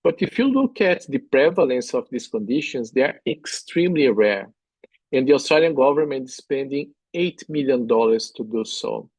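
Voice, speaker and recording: A man's voice: medium pitch, animated, moderate pace, a little mellow, slightly rough, no room sound, low-quality recording. A middle-aged man from Brazil with a Brazilian Portuguese accent, giving an earnest medical presentation in non-native phrasing with frequent "uh" fillers. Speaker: obstetric specialist presenting at a workshop. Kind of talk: conference presentation with slides.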